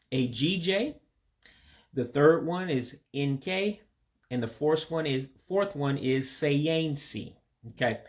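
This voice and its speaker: An American man, a native English speaker, giving a casual video language lesson and slowly repeating words for pronunciation. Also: mid-range voice. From United States. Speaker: language learner teaching vocabulary.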